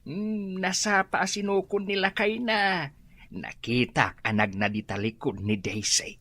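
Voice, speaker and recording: A woman's voice: on the low side, measured pace, a bit bright, no echo. A woman putting on a fussy Ilocano man. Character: older male office worker, fussy.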